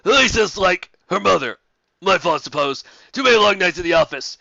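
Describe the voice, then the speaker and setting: Guy playing a sad husband blaming himself, fanfic reading, creaky and kinda high, slightly muffled. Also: expressive, fairly quick, pushed and bright, dry recording, full character voice. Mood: sorrowful. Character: sorrowful, self-blaming husband confiding in a friend. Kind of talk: dramatic fan fiction reading.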